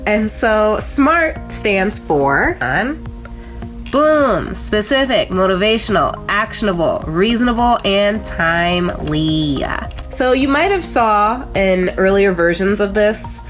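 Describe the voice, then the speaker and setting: A woman's voice: mid-range voice, big pitch swings, slow, faint music behind. An American woman with a nasal, congested voice, hosting a casual motivational vlog in a chatty, conversational way with frequent asides. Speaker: host of a motivational video channel.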